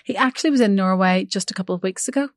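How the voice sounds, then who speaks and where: A woman's voice: medium pitch, racing, no room sound, studio quality. A woman hosting a podcast in friendly, teasing casual chat, recorded in a room at a training ground. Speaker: podcast host. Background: faint gym music.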